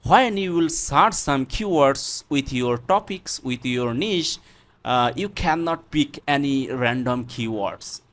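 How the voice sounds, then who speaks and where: Slow, halting Bangladeshi man giving a tutorial. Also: medium pitch, lively intonation, a bit bright. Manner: halting, repetitive, step-by-step explaining. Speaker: tutorial presenter. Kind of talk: unscripted screen-recorded tutorial.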